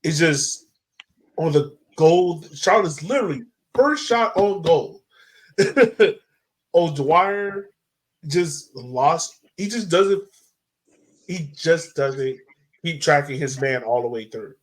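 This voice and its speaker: Male podcast host, higher register, informal and chatty, casual game breakdown. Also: expressive, takes it slowly. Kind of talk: casual match analysis chat.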